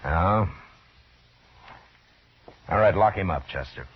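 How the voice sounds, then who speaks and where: An American man playing a hard-bitten, weary frontier marshal in a 1950s radio western, terse, commanding and deadpan. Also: low-pitched, slow pace, slightly rough, exaggerated voice. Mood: hard, threatening. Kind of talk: 1950s radio western acting and first-person narration. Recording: dull vintage radio recording, music behind.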